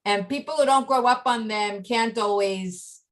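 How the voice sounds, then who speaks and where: A woman's voice: fairly high pitch, measured pace, fairly bright tone. Chatty woman explaining her name. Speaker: chat participant. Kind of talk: casual video call chat. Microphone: video call.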